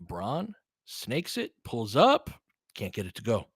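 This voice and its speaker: A man works as an informal sports commentator, mixing play-by-play with opinionated analysis. His pitch swings around a lot from a middle register, and he talks in a conversational way with mild swearing. The sound is close and dry. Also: wildly swooping pitch, a little slow, breathy edge, dry recording. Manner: conversational, opinionated, mildly profane.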